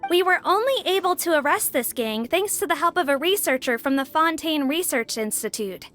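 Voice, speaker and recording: A high, animated voice plays a prim little investigator in a video game. She explains carefully and helpfully, with a cutesy touch and a lilting melody. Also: moderate pace, faint music behind. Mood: helpful, matter-of-fact. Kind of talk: scripted video game voice acting.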